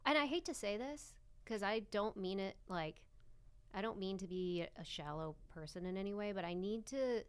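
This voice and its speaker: A woman's voice: mid-range voice, moderate pace, smooth, no room sound. Young American female guest, conversational and rambling, self-qualifying, dry close-up recording. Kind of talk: casual chat between friends.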